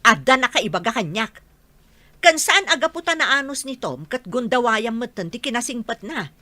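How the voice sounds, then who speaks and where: A woman's voice: on the high side, lively intonation, moderate pace, bright, forceful tone, no room sound. A woman playing a stern, worried middle-aged mother, scolding and questioning in an amateur audio drama.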